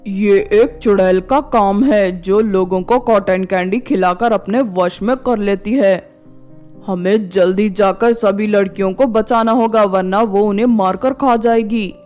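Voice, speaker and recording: A woman's voice: medium pitch, a little slow, darkish tone, close to an everyday voice. A stern male village sorcerer, put on by a woman, commanding and resolute in a mid-range voice. A storybook horror part, with music underneath. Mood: urgent, grave. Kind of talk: storybook character voice.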